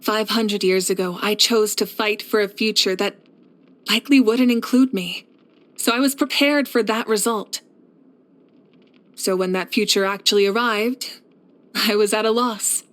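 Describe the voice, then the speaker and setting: A woman playing a confident, warm young warrior leader in a video game, speaking in a poised, measured, earnest way. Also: mid-range voice, steady tone, natural voice. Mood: resolute. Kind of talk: scripted video game character voice lines.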